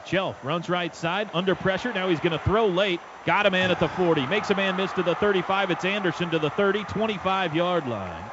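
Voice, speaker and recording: Male football play-by-play announcer, somewhat high-pitched, clipped urgent delivery, over clearly noisy stadium broadcast sound. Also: brisk, hardly any pauses, lo-fi sound. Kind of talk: live radio football play-by-play commentary. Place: stadium radio booth.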